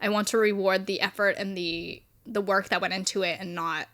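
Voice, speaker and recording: Bright-voiced young woman co-host. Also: medium pitch, a little fast. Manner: animated and teasing, laughs often. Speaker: podcast co-host. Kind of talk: casual chat.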